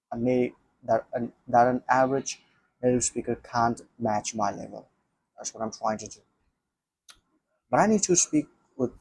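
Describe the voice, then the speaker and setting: A man's voice: medium pitch, gravelly, darkish tone, breathy edge, low-quality recording. A non-native English-speaking man talking alone to a camera about learning the language, thinking aloud with many restarts and repeated phrases. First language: not English. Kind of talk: casual solo talk to camera.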